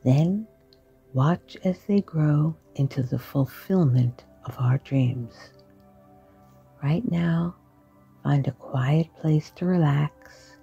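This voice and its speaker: A woman guiding a sleep meditation in a slow, soft, partly whispered voice. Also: low voice, gravelly, slightly rough, muffled recording. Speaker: meditation guide.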